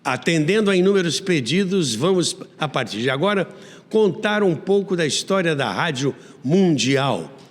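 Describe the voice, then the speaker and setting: A Brazilian man with a fairly high voice narrates as a podcast host. His delivery is emphatic and lively, in old-school announcer style, with long reverb around the voice. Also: moderate pace. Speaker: radio history podcast host. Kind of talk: storytelling narration.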